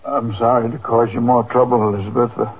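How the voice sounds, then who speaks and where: An actor playing a dejected, apologetic old father in a 1950s radio drama, speaking haltingly in a gravelly, husky voice. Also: medium pitch, level tone, natural voice. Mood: apologetic, self-blaming. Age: older. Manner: halting, apologetic, repeats himself. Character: dejected, self-effacing old father who feels a burden. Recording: muffled narrow-band 1950s radio recording.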